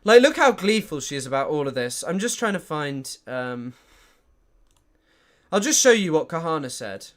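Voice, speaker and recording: A man giving chatty live-stream commentary, animated and full of fillers and restarts. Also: fairly high pitch, normal speed.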